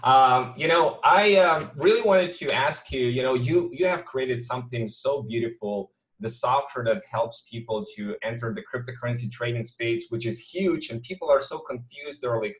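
Eager young host, Hebrew speaker. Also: male voice, medium pitch, poor audio. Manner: eager, lots of fillers, hyped greetings. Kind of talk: casual interview over video call. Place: small office with chair.

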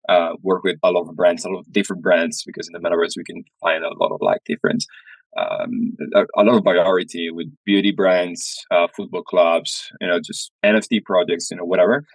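Man, young adult, podcast guest, hesitant, very deep, dry sound. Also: a little fast, dry recording, poor audio. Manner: hesitant, many uh fillers and repeats. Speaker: guest, studio founder. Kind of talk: casual interview. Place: small room indoors, video call. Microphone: headset.

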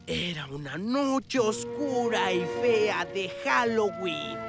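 A woman's voice: medium pitch, lively intonation, slow, over music. A woman with a Latin American Spanish accent voicing a mischievous young boy who tells a ghost story dramatically. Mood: spooky. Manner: theatrical spooky storytelling. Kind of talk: cartoon dubbing.

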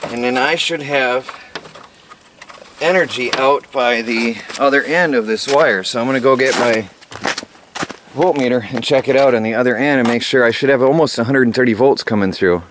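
A man's voice: medium pitch, normal speed. A man in his forties giving a casual hands-on DIY vlog demonstration, talking half to himself in short phrases as he works. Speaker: DIY homestead vlogger. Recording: noisy amateur camera sound with clicks.

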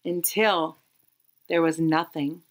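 A woman in her thirties reading a children's picture book aloud expressively, with dramatic pauses and emphasis. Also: sits low, steady tone, background noise. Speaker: read-aloud storyteller.

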